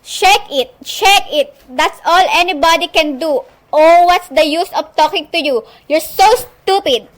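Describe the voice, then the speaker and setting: High-pitched, nervous, demanding invalid woman, acted out by a woman. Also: unhurried, few pauses. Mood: angry, scornful. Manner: imperious, complaining, exasperated. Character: nervous, demanding, irritable bedridden woman on the telephone. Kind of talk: amateur suspense radio play acting.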